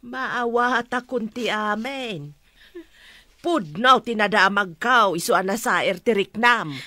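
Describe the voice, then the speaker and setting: A woman's voice: mid-range voice, takes it slowly, bright, forceful tone, no room sound. A woman playing a concerned, insistent mother in a radio drama, speaking with an Ilocano accent. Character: concerned, insistent mother advising her married daughter.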